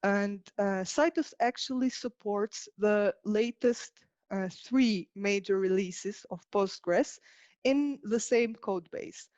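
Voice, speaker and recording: Female conference speaker from Albania, software engineer delivering a structured tech talk; dry, somewhat muffled lo-fi sound. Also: mid-range voice, takes it slowly, no room sound. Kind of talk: prepared technical presentation.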